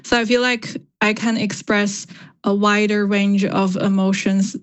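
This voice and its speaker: A woman's voice: medium pitch, little pitch movement, unhurried, smooth. A young woman whose first language is Chinese, a novelist answering interview questions thoughtfully, with many fillers. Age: young adult. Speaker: novelist guest. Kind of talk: radio interview.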